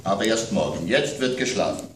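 A man voicing a stern but patient father, firm and brisk as he gives orders. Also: on the low side, lively intonation, natural voice. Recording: roomy, distant, faint room noise.

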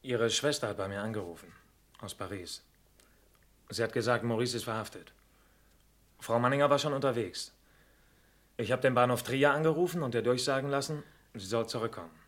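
An actor playing a cornered murderer confessing in terse, resentful sentences in a 1960s German radio crime drama. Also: male voice, medium pitch, a little fast, pauses often, a bit bright, natural voice. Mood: sullen, resigned. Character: cornered male murderer giving a sullen confession.

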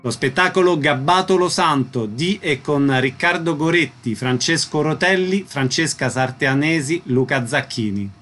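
A man's voice: a little high, a little slow, hardly any pauses, fairly bright tone, soft background music. A man presenting on radio, reading out theatre show credits in an announcing style.

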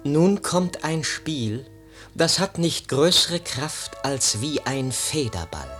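An actor playing a solemn, poetic prologue speaker in a radio drama, declaiming in a measured, theatrical way. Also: male voice, a little high, expressive, fairly bright tone, soft background music. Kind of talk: recited verse prologue in German radio drama.

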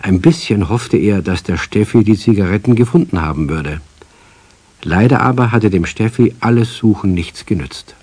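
A man narrating a children's radio play as a calm, measured storyteller. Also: fairly low pitch, breathy edge, no room sound.

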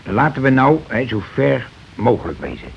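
Radio drama gruff husband: blustering, evasive war veteran, colloquial talk, middle pitch, muffled vintage sound. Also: male voice, very animated, moderate pace. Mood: grumbling. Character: gruff working-class husband, war veteran, scheming and self-excusing. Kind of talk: Dutch radio play acting. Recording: dull, noisy old radio recording.

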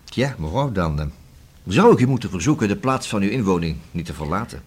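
Low, husky, sardonic police detective. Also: male voice, sing-song, a little fast, darkish tone. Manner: formal, sardonic, unflappable. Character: persistent, ironic male police detective. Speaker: radio drama actor. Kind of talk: radio play acting.

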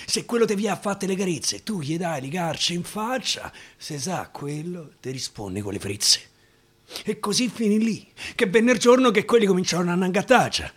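A man with a Roman accent, an actor reciting a dialect verse story in an expressive, dramatic radio-theatre style. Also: high voice, moderate pace, slightly rough. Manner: expressive, dramatic, swinging from declaiming to hushed asides. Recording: guitar music under the voice. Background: acoustic guitar.

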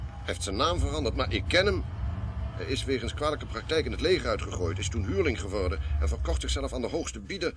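Man playing composed police inspector in a radio drama, mid-pitched, formal and measured. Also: expressive, close to an everyday voice. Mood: serious. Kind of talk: Dutch radio play acting.

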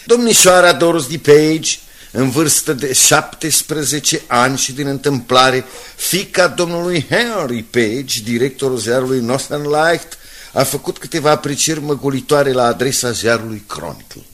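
A man playing a measured newspaper colleague in a radio drama, dryly and articulately reading aloud. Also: mid-range voice, a bit bright, lightly acted. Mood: measured, wry.

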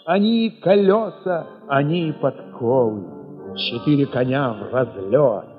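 A man narrating an audio play in the first person, expressive and theatrical, with emphatic pauses. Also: mid-range voice. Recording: muffled, long reverb, music underneath.

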